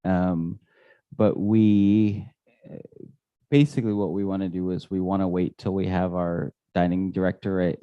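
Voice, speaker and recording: A man's voice: low-pitched, dry recording. A man answering residents' questions at a community meeting, hesitant and full of fillers and restarts. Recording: dull, dry lo-fi audio.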